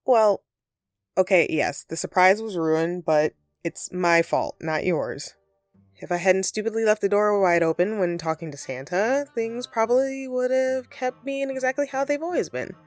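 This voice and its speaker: A woman's voice: medium pitch, normal speed, no echo, soft background music, close to an everyday voice. A woman playing a flustered, friendly Christmas elf secretly living as a roommate, speaking hesitantly and earnestly in an audio roleplay to the listener. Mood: grateful, affectionate. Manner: hesitant, sheepish, conversational and earnest.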